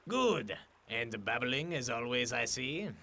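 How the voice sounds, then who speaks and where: Lively French-accented talking snowdrift. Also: male voice, medium pitch, slow pace, noisy background. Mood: joking. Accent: exaggerated French. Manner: theatrical, playful, addresses listener directly. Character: jovial talking male snowdrift, chatty joking storyteller. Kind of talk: comic character storytelling.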